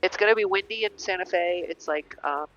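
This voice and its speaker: A woman's voice: fairly low pitch, brisk, fairly bright tone, faint music behind. An American woman pilot making radio calls and chatting casually to viewers over an aviation headset in a cockpit. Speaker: pilot and vlogger. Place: small turboprop cockpit in flight. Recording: muffled cockpit headset audio.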